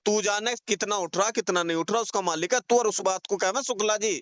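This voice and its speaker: A man's voice: high voice, fairly quick, a bit bright, no echo. A man arguing heatedly on a recorded phone call, insistent, accusing and repeating his points in colloquial speech. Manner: confrontational, insistent, accusing, repetitive.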